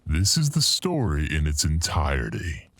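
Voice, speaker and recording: Man acting a deep, ominous storyteller. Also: wildly swooping pitch, fairly bright tone, no room sound, heavily acted. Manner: theatrical, grandiloquent, measured. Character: ominous, eloquent male storyteller, grim and proud. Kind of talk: horror anthology narration.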